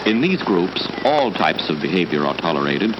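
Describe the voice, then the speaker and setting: Low-voiced man, clinical old film narration. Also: sing-song, moderate pace. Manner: formal, clinical. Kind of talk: archival educational film narration. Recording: muffled, very noisy old film soundtrack.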